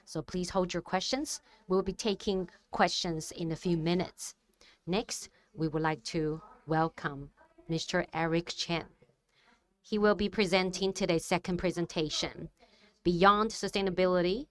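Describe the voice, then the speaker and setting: A woman acting as master of ceremonies, making formal announcements at a forum. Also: on the low side. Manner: measured and formal.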